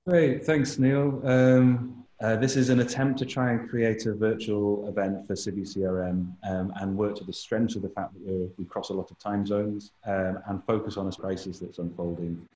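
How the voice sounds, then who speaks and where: Low mellow man in an echoey room. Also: level tone, normal speed, few pauses. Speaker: event organizer opening the session. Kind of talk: informal opening remarks at an online event. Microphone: computer mic on a video call. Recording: echoey room, dull video call audio.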